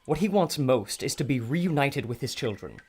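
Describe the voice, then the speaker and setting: A man's voice: mid-range voice, expressive, normal speed, a little mellow, slightly rough. An actor playing a scholarly male archaeologist who explains things in a learned way. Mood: explaining.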